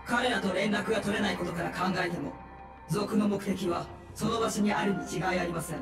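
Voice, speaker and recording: Dutiful guard officer, raised gravelly pitch, distant reverb. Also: male voice, a little fast. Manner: formal, dutiful reporting. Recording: echoey, distant game audio with music.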